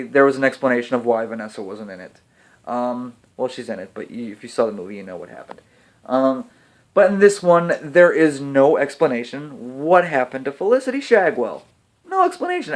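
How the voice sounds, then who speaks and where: A man giving a casual video film review, chatty and hesitant with frequent pauses. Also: fairly high pitch. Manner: hesitant, lots of ums and restarts, chatty. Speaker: movie reviewer.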